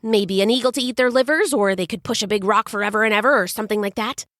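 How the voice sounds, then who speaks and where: A woman's voice: mid-range voice, unhurried, a bit bright, clean tone, dry recording, clean studio sound, natural voice. A woman voicing a speedy, chatty male messenger god whose words run together in a jumble. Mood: eager.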